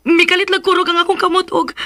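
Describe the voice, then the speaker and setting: An actress playing a meek, nervous young daughter in a radio drama, speaking hesitantly. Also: female voice, very high voice, flat delivery, fast talker, pushed and bright, slight background noise. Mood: shaken, nervous.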